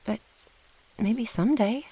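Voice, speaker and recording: A woman playing an earnest, protective young mage girl in a fantasy ASMR roleplay, with a soft, breathy voice. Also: fairly high pitch, a little slow, low-quality recording. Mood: friendly, gentle.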